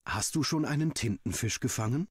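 Man playing a cheeky, chirpy schoolboy, pitched up. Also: expressive, a little fast, breathy edge, no echo, clean studio sound. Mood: silly, prying. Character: cheeky schoolboy pestering with silly questions.

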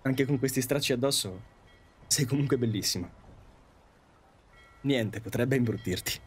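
A man playing an awkward, earnest young neighbour in love, halting in his speech, in an audio drama. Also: medium pitch, husky, lightly acted. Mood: tender. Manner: stammering, halting.

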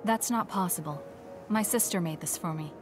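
A woman's voice: lowish voice, moderate pace, over music, lightly acted. An actress playing an aloof young female soldier in an anime-style video game, curt and matter-of-fact.

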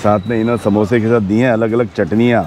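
Middle-aged male food vlogger, enthusiastic, casual. Lapel mic, loud street noise. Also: fairly low pitch, steady tone, fast. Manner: chatty, enthusiastic, mixes English words into Hindi. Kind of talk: casual food vlog narration. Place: outdoors on busy city streets. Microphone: clip-on lapel mic.

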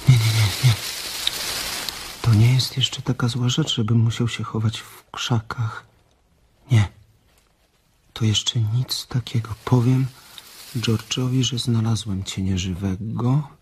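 Guy acting a simple childlike farmhand, radio play, slow halting talk, anxious, repeats himself, bit of noise. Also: medium pitch, fairly even intonation, natural voice. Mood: distressed, fretting.

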